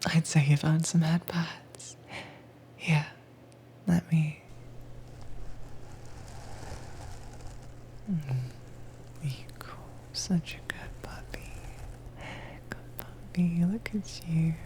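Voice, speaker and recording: A woman playing a dominant, teasing mistress in an intimate roleplay audio, soft-spoken and coaxing but commanding, with a breathy, whispery voice. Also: low voice, fairly even intonation, slow, pauses often. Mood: tender, praising. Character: dominant, teasing mistress training her werewolf pet.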